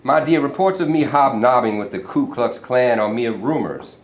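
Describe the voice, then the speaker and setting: A man playing a smooth, condescending governor. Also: medium pitch, expressive, moderate pace, close to an everyday voice. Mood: smug. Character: pompous, scheming middle-aged male state governor. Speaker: actor in a staged reading. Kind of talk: stage play reading. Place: performance hall. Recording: roomy hall from a distant mic.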